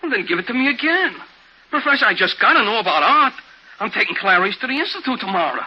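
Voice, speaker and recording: Simple working guy, very high pitch, excitable, stammering, vintage radio comedy-drama, muffled recording. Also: quick pace, husky, heavily acted. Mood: pleading, agitated. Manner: excitable, stammering, plain unschooled talk. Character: simple, anxious, eager working man in love. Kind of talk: 1950s radio drama acting.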